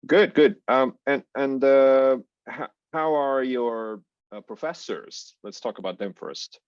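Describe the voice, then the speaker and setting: A man's voice: mid-range voice, slow pace, clear, resonant tone, no echo. A man interviewing a student guest, hesitant with frequent "uh" fillers. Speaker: interviewer host. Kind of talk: hosted interview. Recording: dry, dull lo-fi sound.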